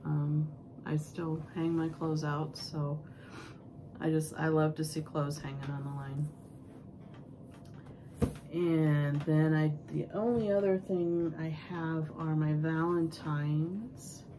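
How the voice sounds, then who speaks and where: A woman hosting a casual needlework show-and-tell, sniffling with a cold and talking in a halting, rambling, homespun way. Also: low voice, fairly even intonation. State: sick and sniffling. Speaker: needlework vlog host. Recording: clearly noisy home recording.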